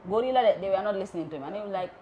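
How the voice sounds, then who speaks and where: A woman, an electrical engineer, telling animated stories in an interview and acting out phone calls. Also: mid-range voice, racing. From Gambia. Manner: lively, acts out phone calls, mixes in local language.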